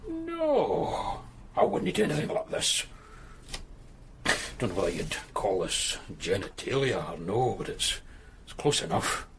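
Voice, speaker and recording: A man's voice: fairly low pitch, wildly swooping pitch, a little slow, long pauses, slight background noise, exaggerated voice. An actor playing a blunt, matter-of-fact male tattoo artist with a Glasgow accent. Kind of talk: radio drama acting.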